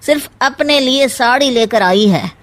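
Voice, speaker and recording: Woman doing a slow, sly meddling uncle. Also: a little high, animated, a bit bright. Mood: sly, goading. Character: scheming, meddling uncle, sly male troublemaker. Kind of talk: Hindi animated comedy story acting.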